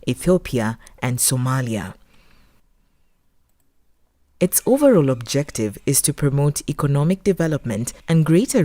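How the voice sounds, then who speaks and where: Low, mellow woman narrator, close and dry. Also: dry recording. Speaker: documentary narrator. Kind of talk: scripted narration.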